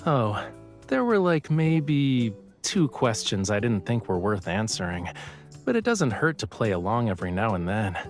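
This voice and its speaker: A man's voice: lowish voice, very animated, unhurried, faint music behind. A man playing a playful, affectionate young artist boyfriend who teases smugly in a romantic game audio drama. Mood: lightly teasing. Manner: teasing, playful, smug.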